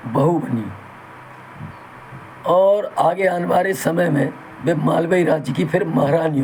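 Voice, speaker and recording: A man speaks slowly and haltingly through a sore throat, his voice sitting a little high. His manner is folksy and reverent, and he addresses his listeners as brothers. A faint hiss of background noise runs under his talk. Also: darkish tone, rough recording. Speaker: channel host. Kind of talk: talk in Hindi with Bundeli dialect.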